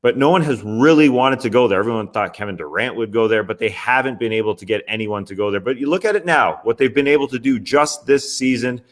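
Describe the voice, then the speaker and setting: A man's voice: medium pitch, fast talker, few pauses. A young man hosting a basketball recap show, talking in a casual, chatty way with wry asides. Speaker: sports podcast host. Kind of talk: NBA recap commentary.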